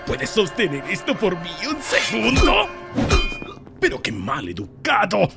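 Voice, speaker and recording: Wildly swooping manic villain clown. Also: male voice, sits high, huge pitch swings, a little slow, creaky, loud music behind the voice, exaggerated voice. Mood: playful, manic. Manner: singsong menacing drawl with cackling swings. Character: manic sadistic villain clown, taunting and theatrical. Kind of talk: animated parody villain acting.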